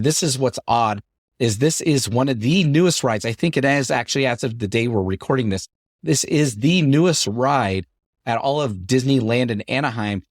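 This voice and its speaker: A middle-aged man hosting his podcast in an upbeat, chatty way, his voice deepened by a lingering cough after an illness. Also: no room sound, clean studio sound. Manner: upbeat, chatty, repetitive phrasing. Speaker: podcast host. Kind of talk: solo podcast talk.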